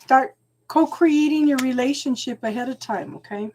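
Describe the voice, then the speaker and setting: A woman doing a live tarot reading at home, talking slowly and soothingly, often checking in with "okay?", and clearing her throat as she loses her voice. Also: high-pitched, a little mellow, slight background noise. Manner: slow, soothing, keeps adding "okay?". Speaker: tarot reader.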